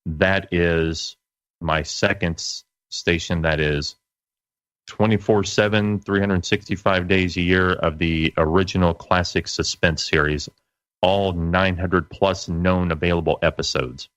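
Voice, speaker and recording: A middle-aged man as an interview guest, casually recalling his work in a halting, self-correcting way. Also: low-pitched, fairly even intonation, a bit bright, no room sound. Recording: dull lo-fi dry sound.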